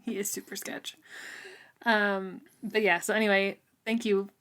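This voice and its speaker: A woman's voice: medium pitch, level tone, unhurried, a bit bright. Giggly rambling woman podcaster. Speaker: podcast co-host. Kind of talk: casual book club podcast chat.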